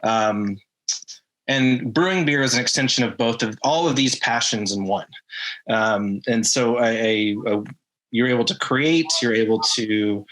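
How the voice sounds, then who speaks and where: A man's voice: lowish voice, fairly bright tone, rough recording. A man from Atlanta, a craft brewer, telling his background in a casual interview with many ums and restarts. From Atlanta, USA.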